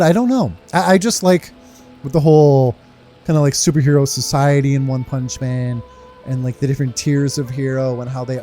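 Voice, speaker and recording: A man giving casual playthrough commentary as a game streamer, rambling and thinking aloud. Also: medium pitch. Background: soft game music.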